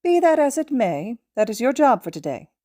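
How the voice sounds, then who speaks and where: A small, brainy male creature played by a woman reading a story speaks in a raised, lifted pitch with close, dry sound. The mentor is insistent and precise, laying out each point with emphatic, wordy confidence. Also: very animated, moderate pace, warm tone, dry recording. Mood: matter-of-fact, firm.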